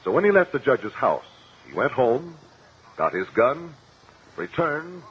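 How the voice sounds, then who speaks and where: An actor playing a shrewd, confident courtroom investigator in a 1950s radio drama, speaking formally and precisely. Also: male voice, medium pitch, big pitch swings, unhurried. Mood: confident, explanatory. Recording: muffled, noisy old radio recording.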